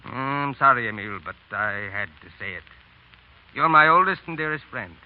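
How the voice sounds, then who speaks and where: An actor playing a frank, principled middle-aged French painter, speaking with earnest reproach in the manner of 1930s radio drama. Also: male voice, medium pitch, expressive, natural voice. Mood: earnest, regretful. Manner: earnest, reproachful, deliberate phrasing. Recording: tinny, narrow 1930s radio broadcast.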